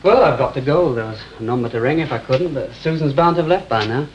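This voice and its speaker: A man acts a young student with a medium-pitched voice. He is hesitant, evasive and defensive, in the style of early sixties television drama, over an audibly noisy soundtrack. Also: animated, fast talker, dull audio, natural voice. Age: young adult. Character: awkward, evasive young male student ashamed of home. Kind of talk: 1960s British television drama acting.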